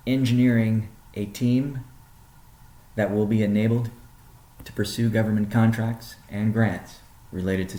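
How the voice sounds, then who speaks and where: A man gives a prepared corporate update in measured phrases. His voice is dark and relaxed, with a heavy gravelly creak. The sound is noticeably roomy and echoing, and he lands key words deliberately. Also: deep voice. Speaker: company CEO presenting. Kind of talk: prepared business presentation on a conference call.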